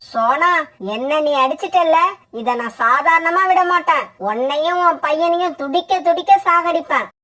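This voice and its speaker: A woman as a high, threatening mother bird. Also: moderate pace, pushed and bright, far from the mic. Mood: angry, threatening. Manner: threatening, scolding. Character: scheming, vengeful mother bird with a hot temper. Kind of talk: children's cartoon story acting.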